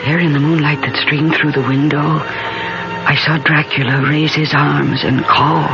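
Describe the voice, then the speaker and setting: A woman's voice: sits low, level tone, unhurried. An actress playing an anxious, devoted young woman, the heroine and narrator of an old-time radio horror drama, theatrical and intense. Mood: haunted, intense. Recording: dull vintage radio, loud music behind.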